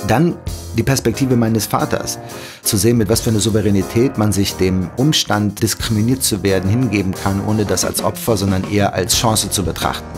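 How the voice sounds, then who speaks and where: A man in his forties from Germany, telling his life story as an interview guest in a thoughtful way, with pauses and fillers. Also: lowish voice, steady tone, over music. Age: 40s. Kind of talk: reflective storytelling.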